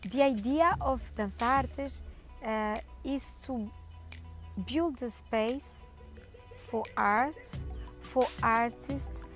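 A woman explaining an arts project, halting and correcting herself as she talks. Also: on the high side, soft background music. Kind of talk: explanatory narration.

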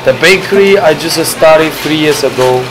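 A man in his thirties, originally from China, answering interview questions as a bakery owner in halting, simple English full of "you know". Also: a little high. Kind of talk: casual interview. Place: small bakery cafe, indoors. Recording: noisy room with air conditioning hum.

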